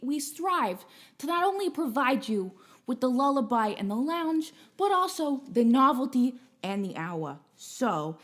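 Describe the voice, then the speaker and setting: Rambling, jokey livestream host, woman with a high voice and unhurried delivery. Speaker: host and singer. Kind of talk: livestream concert banter.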